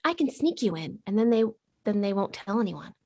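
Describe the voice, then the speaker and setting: A woman's voice: medium pitch, sing-song, brisk, mellow, dry recording, clean studio sound. A woman playing a tabletop role-playing game, chatting casually, improvising lines and reacting to the story.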